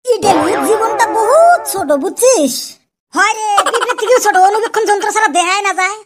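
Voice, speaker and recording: An extremely high, squeaky cartoon village lad with an artificially raised voice. He is cheeky and argumentative, bantering over loud music. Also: lively intonation, brisk. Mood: mock-earnest. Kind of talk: comic cartoon dialogue.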